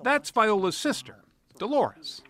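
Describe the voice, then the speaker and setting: Male TV news narrator, polished; bright, lively delivery. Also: high voice. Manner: polished, measured storytelling. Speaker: correspondent.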